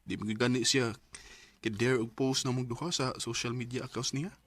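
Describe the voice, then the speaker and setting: Husky, earnest young Bisaya boyfriend played by an actor. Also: male voice, mid-range voice, steady tone, moderate pace, a bit bright, no echo, natural voice. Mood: hurt, defensive. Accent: Filipino Bisaya. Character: devoted, hopeful young boyfriend, earnest and patient. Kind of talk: Filipino radio drama acting.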